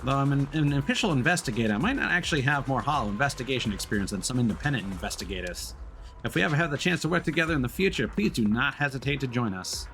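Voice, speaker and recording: A man's voice: mid-range voice, animated, fast, a bit bright, soft background music, pitched above the performer's own voice. A man voicing a polite, earnest official investigator from a video game.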